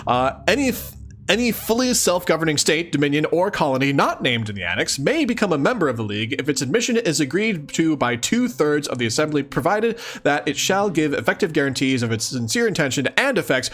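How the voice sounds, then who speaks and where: A man's voice: on the high side, fairly bright tone. A man doing a live read-aloud, switching between theatrical mock-solemn reading and casual chatty asides. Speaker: streamer reading a webcomic aloud for the first time.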